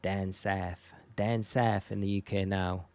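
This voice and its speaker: Low-voiced northern English man, chatty streamer. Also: flat delivery, slow pace, darkish tone. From northeast England. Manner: chatty, thanking and addressing viewers informally. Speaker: live streamer. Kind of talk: casual livestream chat while gaming.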